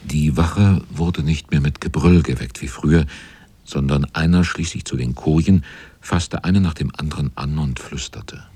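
An actor playing a sailor who narrates a radio drama in a grave, measured, brooding literary manner. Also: male voice, very deep voice, mellow, slightly rough, no room sound. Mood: confiding. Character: brooding sailor narrator looking back on the crew.

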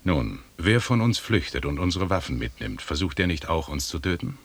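An actor playing a stern, commanding expedition leader in a German radio drama, speaking with measured authority. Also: male voice, deep voice, big pitch swings, husky, exaggerated voice. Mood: insistent oratory.

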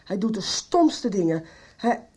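A woman's voice: mid-range voice, very animated, measured pace, darkish tone, breathy edge. A woman acting an uneasy, hesitant middle-aged farm wife in a radio soap. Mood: shocked disbelief.

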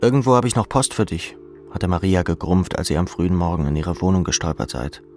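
A man's voice: deep voice, lively intonation, brisk, a little mellow. A man narrating literary fiction in the second person. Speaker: audiobook narrator. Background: music.

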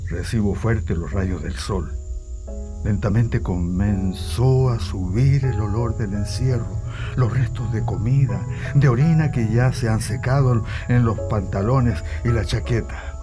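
Actor as low-voiced former prisoner remembering. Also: male voice, a little slow, close to an everyday voice. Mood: sombre recollection. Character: former political prisoner recounting his ordeal. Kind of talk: dramatised scripted narration. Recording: music bed under the voice.

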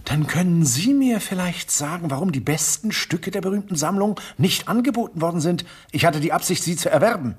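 A man's voice: on the high side, animated, fairly quick, few pauses, breathy edge. An actor playing a pompous, misanthropic male antique collector in a radio drama. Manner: pompous, disdainful. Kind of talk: German radio play acting.

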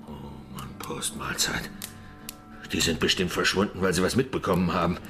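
An actor playing a gruff, murderous coachman with a rough, sneering manner in a German children's radio play. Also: sits low, moderate pace, pushed and bright, faint music behind. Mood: alarmed, angry.

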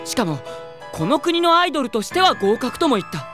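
A woman's voice: high-pitched, big pitch swings, fairly quick, over music. A woman voicing a proud, self-absorbed young male pop idol in an anime audio drama, boastful, earnest and dramatic in delivery. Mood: agitated, demanding. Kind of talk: anime audio drama acting with first-person narration.

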